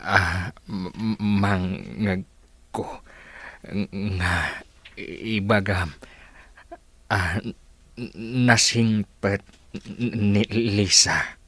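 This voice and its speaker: A man's voice: low voice, drawn-out words, pauses often, fairly bright tone, husky, no room sound, exaggerated voice. An actor playing an ailing, weary father in a radio drama, stammering through broken words with an Ilocano accent. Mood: weary, tender.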